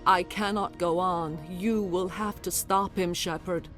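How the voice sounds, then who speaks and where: Tormented dying matriarch: grave, unhurried, faltering delivery, dignified, video game drama, faint score behind. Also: female voice, lowish voice, steady tone, fairly bright tone, soft background music. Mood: tender, resigned.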